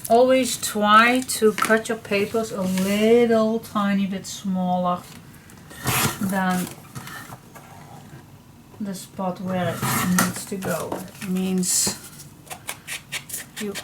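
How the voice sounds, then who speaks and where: A woman with a slightly hoarse, froggy voice talks through a papercraft project at an unhurried, easy pace. She chats loosely and half to herself as she works. A steady hiss of noise sits clearly under the recording. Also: mid-range voice. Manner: chatty, slow and relaxed, talks to herself while she works. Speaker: papercraft tutorial host. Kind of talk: casual crafting tutorial talk.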